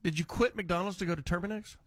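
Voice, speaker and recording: A man's voice: high-pitched, quick pace, no echo. A male radio host talks into a studio mic with a dry, close sound. He is blunt and teasing and swears casually with his callers. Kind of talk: call-in radio talk.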